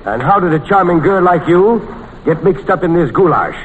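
An excitable, formally polite quizmaster in a 1940s radio comedy. His high voice swings widely as he repeats himself, flustered. The old recording is noisy. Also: normal speed, phone-quality sound. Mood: courteous. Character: exasperated, excitable straight-man quizmaster.

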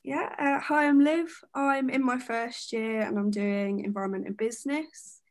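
A woman's voice: a little high, moderate pace. A young woman from Kent, a first-year university student, chatting easily as a podcast guest with a few fillers. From Kent, England. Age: young adult. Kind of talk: casual podcast chat.

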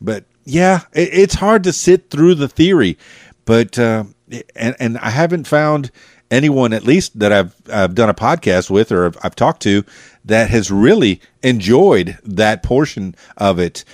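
A man's voice: mid-range voice, lively intonation, a little slow, darkish tone, no echo, clean studio sound. An American man hosting a podcast, chatting casually in a rambling, jokey way with his guest. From United States. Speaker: podcast host. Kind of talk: casual interview chat.

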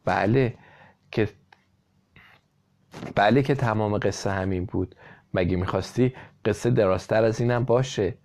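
Man acting a dramatic, sly farmer, lowish voice. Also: pauses often, a little mellow, slight background noise, lightly acted. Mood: brusque. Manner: declaiming in short repeated phrases. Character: sly, dramatic male farmer improvising a tale.

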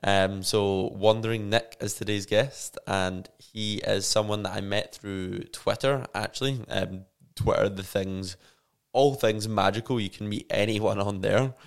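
A man's voice: fairly low pitch, steady tone, unhurried, creaky, clear, resonant tone. A man hosting a podcast, asking questions in a friendly, enthusiastic way. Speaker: podcast host. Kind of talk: casual interview hosting.

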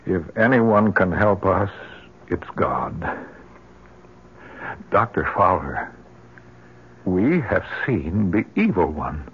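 Radio drama husband: low, husky, swooping with fear, earnest, dull vintage recording. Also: male voice, big pitch swings, takes it slowly, pauses often, full character voice. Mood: troubled, earnest. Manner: urgent, earnest, emotional. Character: anguished, frightened husband insisting evil pursues them. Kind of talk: old-time radio drama acting.

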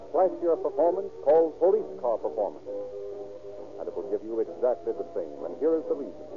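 A man doing formal, declamatory scripted announcing and narration in a 1930s radio style, with measured phrasing. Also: medium pitch. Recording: tinny 1930s radio recording.

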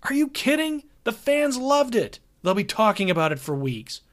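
A man's voice: sits high, big pitch swings, normal speed, fairly bright tone, voice pushed up. A man voicing a pushy, upbeat older road manager who is brash and dismissive. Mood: reassuring.

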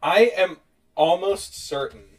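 A man with a fairly high voice co-hosts a podcast in a loose, conversational style. He is animated and laughs readily as he talks. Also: slow pace. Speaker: podcast co-host. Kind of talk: casual chat, reading out online reviews.

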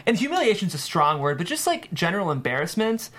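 A man's voice: high-pitched, sing-song, quick pace, fairly bright tone. A man being interviewed as a screenwriter, explaining things thoughtfully in casual talk peppered with "like".

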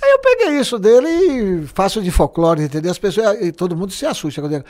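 A man's voice: high voice, fast. A man, a guest telling stories in a casual, joking way and laughing often. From Bahia, Brazil. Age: 60s. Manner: animated, jokey, laughs often. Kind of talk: casual storytelling interview.

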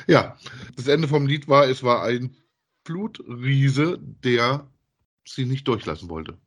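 A man co-hosts a casual podcast. He talks at a slow pace in a mid-range pitch. His delivery is halting and deliberate. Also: animated. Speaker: podcast co-host.

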